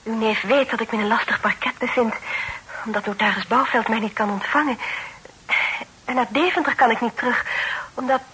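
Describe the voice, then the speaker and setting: A young unmarried lady in distress in a radio play. The voice is fairly high, bright and very husky. The delivery is earnest, nervous and deferential. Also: a little fast, exaggerated voice, rough recording. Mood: earnest, polite. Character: young, anxious, well-bred unmarried lady in a predicament. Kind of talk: Dutch radio drama acting.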